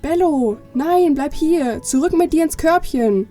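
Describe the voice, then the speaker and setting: A woman's voice: high-pitched, expressive, unhurried, dark tone, soft background music. A woman playing an earnest, determined young girl, childlike and insistent, in a children's audio play. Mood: urgent.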